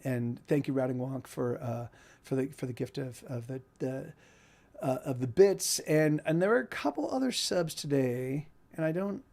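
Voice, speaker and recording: A man's voice: on the high side, a little mellow. A man in his forties, a musician chatting casually with stream viewers between songs, rambling and often repeating and restarting his words. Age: 40s.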